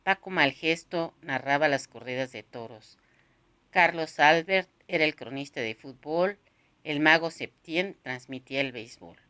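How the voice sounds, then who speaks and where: Woman w a pretty low voice reading a novel out loud, expressive, pauses between phrases. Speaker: narrator reading a novel aloud. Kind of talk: expressive literary audiobook narration.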